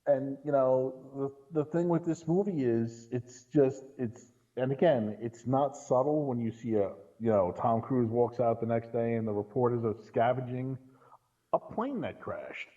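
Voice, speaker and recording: A man's voice: mid-range voice, a little slow, mellow. Slightly muffled man telling casual stories. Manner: storytelling with rhetorical check-ins. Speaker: podcast guest commentator. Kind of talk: casual anecdotal chat about films.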